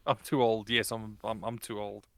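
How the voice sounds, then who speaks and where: South african guy on a podcast, lowish gravelly smooth voice, teasing, dry close mic. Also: flat delivery, measured pace, fairly bright tone, dry recording. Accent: South African English. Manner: playful teasing, argues with a chuckle. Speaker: podcast co-host. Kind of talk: casual bantering chat.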